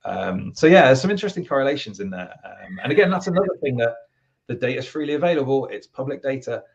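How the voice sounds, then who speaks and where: A man with a British accent, a guest from a product team, explaining at length in a relaxed, rambling way with lots of fillers. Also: medium pitch, fast talker, vocal fry, lo-fi sound. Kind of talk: casual interview talk.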